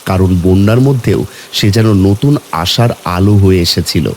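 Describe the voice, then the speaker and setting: A man reading scripted narration for an animated moral story as its storyteller. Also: low-pitched, moderate pace, a little hiss or hum.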